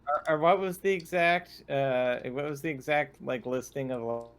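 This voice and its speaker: A man's voice: mid-range voice, moderate pace, smooth. A man playing a tabletop roleplaying game and chatting casually.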